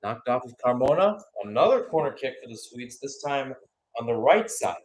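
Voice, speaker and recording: A man's voice: medium pitch, very animated, normal speed. A man giving radio-style live play-by-play football commentary as the match commentator.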